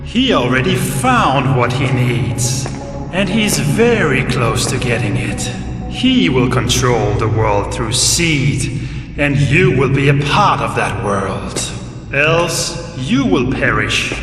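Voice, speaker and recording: A man's voice: medium pitch, sing-song, slow, distant mic. An actor playing a gloating old villain in a video game, pompous and mock-polite as he taunts. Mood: smug threat. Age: older. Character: gloating old male villain, menacing and theatrical. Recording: cavernous long reverb, loud music behind.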